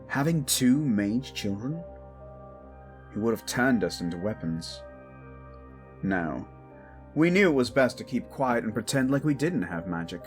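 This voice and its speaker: A man acts out a protective exiled prince ruling in a king's stead. He speaks slowly and deliberately in a mid-pitched voice, with firm, formal authority and some weariness. It plays as fantasy audio drama with faint music behind. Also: very animated. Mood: guarded, weary. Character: protective exiled prince acting as king, commanding yet weary. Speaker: voice actor.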